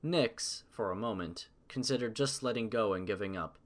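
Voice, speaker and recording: A man's voice: lowish voice, moderate pace. A man reading scripted story narration as the narrator.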